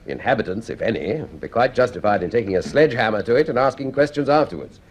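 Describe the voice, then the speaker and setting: An actor playing an urbane, authoritative senior scientist in a radio drama, with a deliberate, reassuring way of talking. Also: male voice, medium pitch, animated, slightly rough. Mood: speculative.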